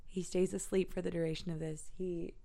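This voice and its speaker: Low-voiced young woman with a gravelly edge. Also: level tone, warm tone. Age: 20s. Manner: thoughtful, searching for words with many ums and pauses. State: stuffy from a cold. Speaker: podcast host. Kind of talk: casual solo podcast monologue. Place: at home.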